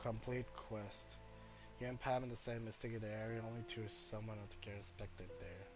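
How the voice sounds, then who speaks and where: A man doing casual commentary on a video game playthrough, halting and thinking aloud as he goes. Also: lowish voice, fairly even intonation. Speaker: gamer streaming playthrough. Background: game music.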